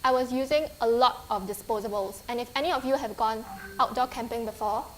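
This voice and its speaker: A woman in her twenties with a Singaporean English accent speaks to students in a lecture hall. Her voice sits a little high, and she talks in a friendly, earnest way, stopping often for ums and restarts. A faint background hum runs under her speech. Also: fairly even intonation, brisk. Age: 20s. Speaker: guest speaker. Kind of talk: conference talk with slides.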